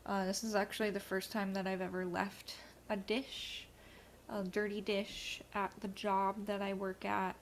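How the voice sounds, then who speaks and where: Slow, hesitant woman, stuffy. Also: mid-range voice, a little hiss or hum. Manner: hesitant, many ums and likes. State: stuffy from allergies. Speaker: vlogcast co-host. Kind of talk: rambling casual chat.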